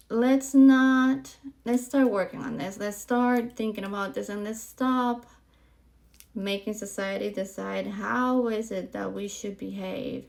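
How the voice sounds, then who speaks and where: Gravelly-voiced podcast host in her forties. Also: mid-range voice, a little mellow. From Puerto Rico. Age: 40s. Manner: candid, chatty, frequent ums and likes. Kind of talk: casual monologue to camera.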